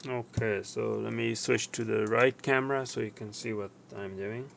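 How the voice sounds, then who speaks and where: A man presenting a casual hands-on repair demonstration, going step by step in a halting way and correcting himself. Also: fairly low pitch, flat delivery, gravelly, fairly bright tone, a little hiss or hum. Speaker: tutorial presenter.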